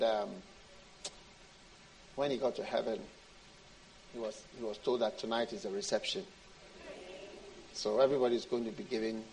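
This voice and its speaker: A man's voice: medium pitch, slight background noise, low-quality recording. A man preaching a sermon in an informal, conversational way, repeating phrases for emphasis and speaking straight to his congregation. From Switzerland. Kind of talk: sermon with anecdotes and jokes. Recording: live audience sound behind the voice.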